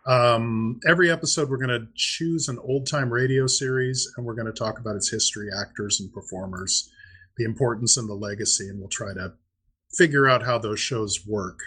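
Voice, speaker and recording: A man's voice: medium pitch, normal speed, a bit bright, breathy edge. A man in his fifties, chatting casually as the co-host of a podcast. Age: 50s. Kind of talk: casual chat about old-time radio.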